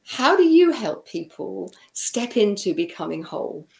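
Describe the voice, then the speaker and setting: A woman's voice: medium pitch, sing-song, unhurried, creaky, a little mellow. A British woman hosts an interview, talking thoughtfully with occasional hesitant fillers. Her voice carries a roomy echo. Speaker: host.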